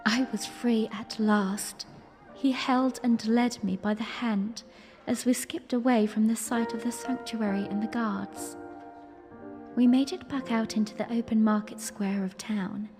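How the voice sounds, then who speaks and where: A woman acts a young princess narrating a romantic fantasy audio drama. She is gently regal and playful, rather high in pitch and slow, over a faint music bed. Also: fairly even intonation, close to an everyday voice. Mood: tender, playful. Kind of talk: first-person storytelling and fan audio drama acting.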